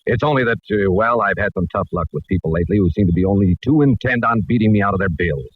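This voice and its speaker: An actor playing a businesslike, touchy ranch owner in a 1940s radio drama, talking in a brusque, hurried way. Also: male voice, lowish voice, husky, dry recording. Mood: apologetic. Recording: dull 1940s radio recording.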